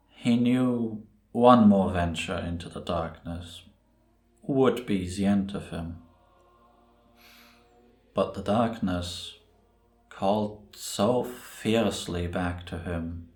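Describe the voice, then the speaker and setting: A man playing a grave, brooding priest who tells a dark tale in a solemn, ominous, deliberate way for a cinematic audio drama. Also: deep voice. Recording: roomy echo on the voice.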